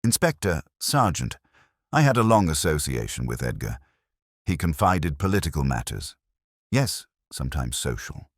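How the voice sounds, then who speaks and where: Male narrator as composed defensive lady, proud, firm, formal, very deep animated voice, mystery audiobook. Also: normal speed, no room sound, studio quality, heavily acted. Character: composed, proud, defensive unmarried woman in love.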